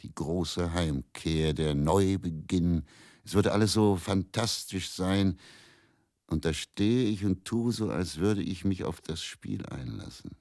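A man playing a weary, fatalistic middle-aged faith healer in a radio drama monologue, telling his story in a measured, brooding way. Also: low voice, a little mellow, no echo, studio quality. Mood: bitterly ironic.

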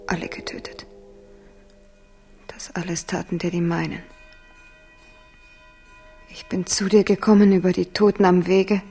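A woman's voice: lowish voice, flat delivery, unhurried, long pauses, dark tone, soft background music. A grieving, tender young queen. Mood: whispered grief. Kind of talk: German radio drama acting.

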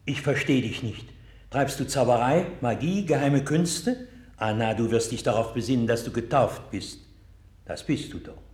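An actor playing a devout, steadfast pastor in a 1960s German radio play, speaking with earnest solemnity. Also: male voice, medium pitch, expressive, normal speed, darkish tone, husky. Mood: stern, admonishing. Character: devout, steadfast pastor consoling his flock in a plague year.

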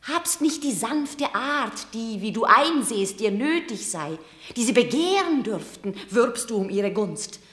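A woman's voice: on the high side, expressive, unhurried, fairly bright tone, echoey room. An actress playing a shrewd, commanding mother urging her son in a radio drama.

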